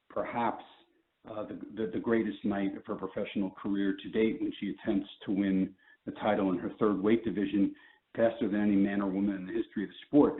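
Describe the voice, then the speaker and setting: A man giving a promoter's press statement over the phone in a measured, emphatic way. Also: on the low side. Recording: narrow phone conference line.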